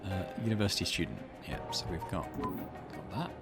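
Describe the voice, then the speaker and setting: A man hosting a let's play, reading a visual novel aloud and thinking out loud in between. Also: deep voice, lively intonation, moderate pace. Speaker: gaming channel host. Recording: music under the voice. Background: game music.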